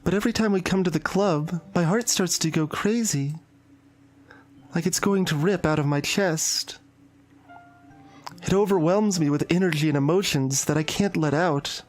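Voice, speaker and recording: A woman playing a shy, anxious and intense high school girl in a visual novel, speaking in a hesitant, confiding way. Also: normal speed, darkish tone, lightly acted. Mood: troubled, confessional. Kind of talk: dramatic visual novel voice acting. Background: background music.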